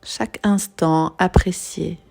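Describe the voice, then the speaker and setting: A woman guiding a yoga nidra meditation in a soothing, unhurried manner, leaving long pauses. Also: lowish voice, steady tone, creaky, darkish tone, soft background music. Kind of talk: guided meditation.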